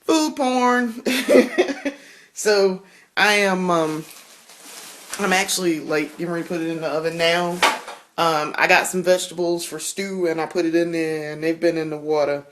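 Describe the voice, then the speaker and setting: A woman's voice: on the low side, moderate pace, slight background noise. A young woman from South Carolina talks in a thick Southern drawl as she cooks in her home kitchen. She chats loosely, with plenty of ums, frequent laughs and easy swearing. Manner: chatty, laughs often, swears freely, lots of ums. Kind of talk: casual vlog chat while cooking.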